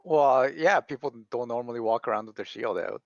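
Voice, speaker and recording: A man's voice: mid-range voice, brisk, gravelly. A man acting as dungeon master in casual tabletop chat, teasing the players and checking rules. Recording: dull lo-fi sound.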